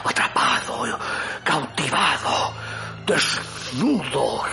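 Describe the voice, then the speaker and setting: A man's voice: mid-range voice, lively intonation, creaky, pushed and bright, soft background music, heavily acted. An actor playing a tormented, half-crazed dying man in a theatrical gothic horror radio monologue. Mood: feverish, trapped. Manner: slow, dramatic, anguished declamation. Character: tormented dying man, trapped among decadent bourgeois cannibals, half-crazed.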